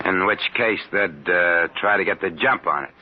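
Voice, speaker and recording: A brash, sarcastic private eye, played by a man with a very low voice. He talks in snappy, wry jabs. The sound is a muffled 1950s radio recording with faint hiss. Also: close to an everyday voice. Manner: brash, sarcastic, fast-talking. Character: wisecracking hard-boiled private detective, male. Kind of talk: 1950s radio drama acting.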